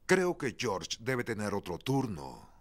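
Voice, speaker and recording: A man's voice: fairly low pitch, huge pitch swings, slow, exaggerated voice. A man playing an easygoing, good-humoured middle-aged father pig in a children's cartoon. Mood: gentle.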